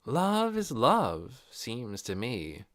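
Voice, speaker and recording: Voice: mid-range voice, huge pitch swings, extremely slow, fairly bright tone, full character voice. A narrator voicing a cautious, practical grown woman in an audiobook, skeptical and wry.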